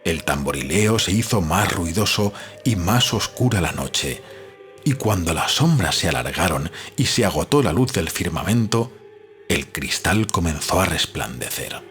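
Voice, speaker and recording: Deep-voiced male audiobook narrator, expressive. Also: a little slow, soft background music. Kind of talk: scripted literary narration of a novel.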